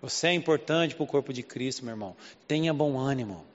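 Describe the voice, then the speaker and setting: A man's voice: medium pitch, lively intonation, a little fast, long reverb tail, muffled recording. A man from Southeast Brazil with a Southeast Brazilian accent, preaching a conversational sermon full of rhetorical questions. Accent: Southeast Brazilian Portuguese. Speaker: pastor. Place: church hall.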